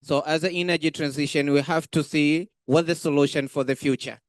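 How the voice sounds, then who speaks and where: A young man with a Tanzanian accent speaks from the audience in a smooth voice, a little high and very dry in sound. He is earnest and a bit hesitant as he frames his question in non-native English. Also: fairly even intonation, brisk, no room sound. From Zanzibar, Tanzania. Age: young adult. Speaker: audience member asking a question. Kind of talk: question from the floor.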